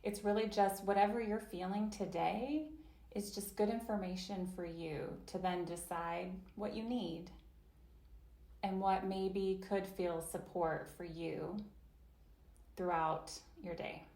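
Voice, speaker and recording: A woman voicing an interpreted guided chair yoga session, speaking slowly and gently, with long pauses and soft invitations. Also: mid-range voice, fairly even intonation, reverberant.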